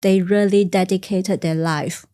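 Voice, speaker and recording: A woman from China whose first language is Teochew, speaking softly and reflectively as a guest in a studio podcast interview. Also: on the low side, fairly even intonation, unhurried. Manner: soft-spoken and reflective, simple phrasing with small grammar slips. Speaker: guest, career coach. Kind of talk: podcast interview, personal storytelling.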